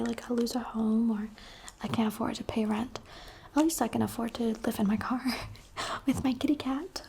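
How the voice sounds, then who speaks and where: Young woman ASMR creator from the UAE, soft unvoiced whisper, chatty and candid, faint hiss behind. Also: on the high side, gravelly.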